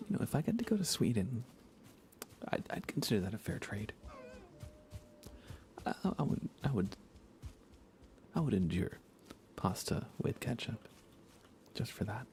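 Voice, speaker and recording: An American man speaking in soft, breathy whispers, chatting casually and unhurriedly to viewers of an ASMR livestream. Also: medium pitch, sing-song, a little hiss or hum. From United States. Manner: hushed, unhurried, conversational.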